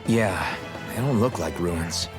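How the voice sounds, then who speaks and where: An actor playing a loyal, determined young rebel hero in a video game, earnest and resolute. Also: male voice, low-pitched, lively intonation, takes it slowly. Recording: video game music behind.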